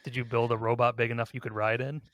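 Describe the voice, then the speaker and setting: A man hosts a casual podcast discussion in a mid-pitched voice. The sound is dry and close, with very little room to it. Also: little pitch movement, quick pace, fairly bright tone, no echo, lo-fi sound. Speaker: podcast host.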